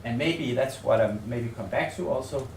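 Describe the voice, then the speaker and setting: A man from Denmark with a Danish accent presents at a seminar as a researcher, speaking English as a second language in a halting, tentative way, thinking aloud and repeating words. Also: mid-range voice, a little mellow. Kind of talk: semi-improvised conference presentation. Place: seminar room in a hotel. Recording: echoey room, distant mic, faint noise.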